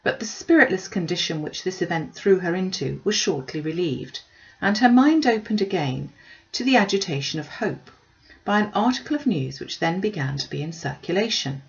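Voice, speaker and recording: A woman's voice: on the low side, animated, normal speed, gravelly, dull audio. A woman reading a classic novel aloud as scripted audiobook narration. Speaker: audiobook reader.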